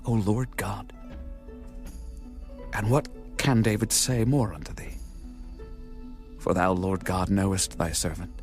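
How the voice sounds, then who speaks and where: A devout ancient king, low in pitch and humble in bearing. He speaks with earnest, measured reverence in a Bible drama, over soft music. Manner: earnest, measured, formal prayer. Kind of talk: dramatized scripture reading.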